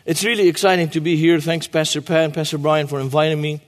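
Guest preacher, man in his 40s, high voice, long church reverb. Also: level tone, quick pace. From Lebanon. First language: Arabic. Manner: emphatic, repeats key phrases. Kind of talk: sermon.

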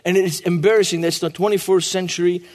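Guest preacher, man in his 40s, high voice, long church reverb. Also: fairly even intonation, fast, darkish tone. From Lebanon. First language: Arabic. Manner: emphatic, repeats key phrases. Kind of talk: sermon.

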